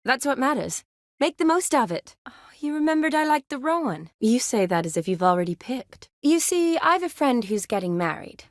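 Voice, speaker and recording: A woman's voice: high voice, sing-song, moderate pace, dry recording, studio quality. A woman playing a spirited, caring young medic who is frank and teasing, in naturalistic video game dialogue. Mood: warm, friendly.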